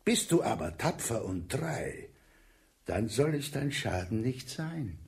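Imperious Tsar for radio drama: unhurried, weighty pace, crude authority, mid-range voice. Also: male voice, medium pitch, sing-song, darkish tone. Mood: stern, threatening. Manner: slow, commanding, crude. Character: imperious, coarse Russian Tsar granting an audience.

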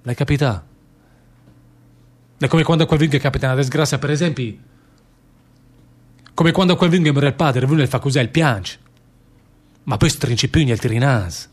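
Actor as defiant condemned worker with Lombard accent. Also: male voice, mid-range voice, moderate pace, pauses often, natural voice. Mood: earnest. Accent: northern Italian, Lombard. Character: condemned Italian anarchist emigrant worker, defiant, bitter, weary. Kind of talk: stage-style radio drama monologue in dialect.

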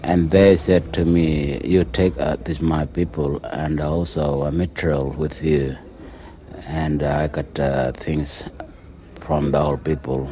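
Very deep-voiced hesitant man. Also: flat delivery, mellow. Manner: hesitant, many pauses and fillers. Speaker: interviewee. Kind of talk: halting interview answers in English.

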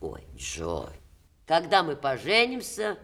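Haughty older diva, bossy and mocking, grand stagey way of talking, old soviet radio play. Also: female voice, low voice, huge pitch swings, slow, pushed and bright, heavily acted. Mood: commanding. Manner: haughty, commanding, theatrical delivery. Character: imperious, vain, sharp-tongued aging actress, grand and mocking.

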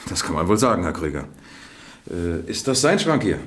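An actor playing a matter-of-fact police detective who asks clipped, inquisitive questions in a radio crime drama. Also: male voice, sits low, big pitch swings, moderate pace, noisy background. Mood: probing curiosity.

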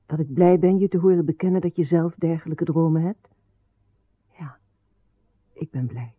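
A devoted wife and queen, gentle and soothing. Her breathy female voice pleads softly in radio-drama style. The sound is very dry and tinny, like an old narrowband recording. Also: fairly low pitch, dry recording.

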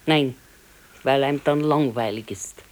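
An actress playing a practical, contented older wife in a naturalistic radio play, speaking matter-of-factly with a Bavarian accent. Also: female voice, extremely low pitch, measured pace, a little mellow. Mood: resigned contentment. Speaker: radio drama actor. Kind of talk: naturalistic 1970s radio play dialogue in dialect.

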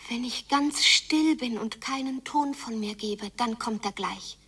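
A woman playing a yearning, stubborn young girl in a radio drama, speaking breathily in an intense, halting, hushed way. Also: on the high side, slightly rough, far from the mic, exaggerated voice. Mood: hopeful, secretive. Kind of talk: radio drama inner monologue, often whispered.

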